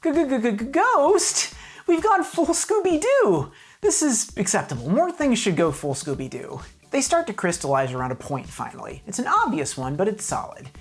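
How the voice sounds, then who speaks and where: A woman's voice: on the high side, big pitch swings, normal speed, husky, faint music behind. A woman narrating a scripted comedic video review in a wry, mock-serious, emphatic way.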